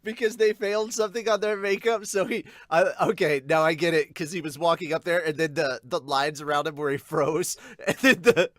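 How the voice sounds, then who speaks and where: A man with a fairly high voice talks in a casual, amused way, explaining a story as he reacts to it. The recording is close and dry, with little room around him. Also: steady tone, brisk, few pauses, a bit bright, no echo. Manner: amused, laughs often while explaining. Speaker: podcast host reacting to manga. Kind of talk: casual reaction chat with manga read-aloud.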